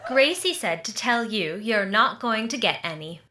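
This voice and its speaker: A woman's voice: medium pitch, expressive, moderate pace, heavy background noise, close to an everyday voice. A woman playing an obliging friend who acts as go-between in a 1940s-style radio comedy. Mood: dutiful.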